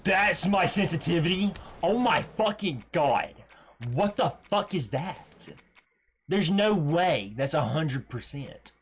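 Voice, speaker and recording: A man's voice: fairly high pitch, animated, slow pace, a little hiss or hum. A young man doing casual, profane game commentary as a streamer, slangy and repetitive, prone to ranting.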